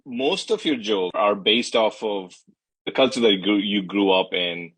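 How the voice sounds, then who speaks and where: A male interviewer with a low, husky voice asks loose, winding questions and stops to search for words. The sound is close and dry, with no room around it. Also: measured pace, a bit bright, dry recording. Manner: asks long rambling questions with hesitations. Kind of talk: casual interview chat.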